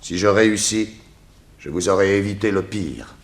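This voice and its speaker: An actor playing a resolute detective hero, brisk and authoritative, in a 1960s French radio drama. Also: male voice, low voice. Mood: firm. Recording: faintly noisy old radio recording.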